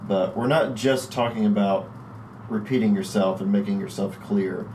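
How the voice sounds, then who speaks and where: A man's voice: fairly low pitch, steady tone, moderate pace, darkish tone, slight background noise. A man hosting a church podcast, reading a friendly, upbeat intro and asking his guest questions. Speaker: podcast host.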